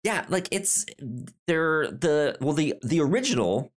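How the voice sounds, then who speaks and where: A man co-hosting a podcast in casual comedic chat, telling stories in a rambling, animated way with stammered repeats and acted-out quotes. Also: a little high, measured pace. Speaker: podcast co-host.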